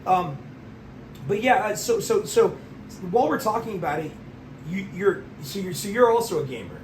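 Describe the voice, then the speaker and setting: A man hosting an interview who stammers and circles back over his words. His voice sits a little high. It is recorded in an echoing room with a clear layer of background noise. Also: expressive. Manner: halting, stammering, repeats words. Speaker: interview host. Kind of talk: casual interview.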